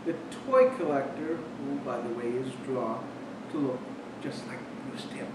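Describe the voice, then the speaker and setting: A man casually reviewing a cartoon episode, retelling the plot in a rambling way with restarts. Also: a little slow. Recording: very echoey, distant from mic, noisy with rumble.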